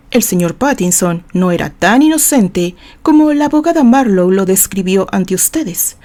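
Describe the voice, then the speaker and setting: A woman's voice: fairly high pitch, warm tone. Woman voicing a formal, pointed male defense attorney. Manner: formal, emphatic, rhetorical courtroom delivery.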